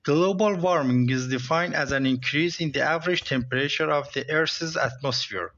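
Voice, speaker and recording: A man reads a script in a slightly high, bright and forceful voice. The recording is a little dull. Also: normal speed, no room sound. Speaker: exam passage reader. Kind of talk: scripted read-aloud narration.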